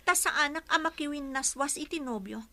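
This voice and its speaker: A woman's voice: high-pitched, brisk, pushed and bright. An actress playing a defiant young daughter in love who challenges her mother in an Ilocano audio drama.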